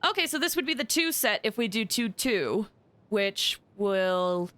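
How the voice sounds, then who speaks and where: A woman game streamer chatting casually and excitably, thinking aloud with frequent exclamations as she plays. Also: mid-range voice, a little slow. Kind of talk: casual livestream gaming chat.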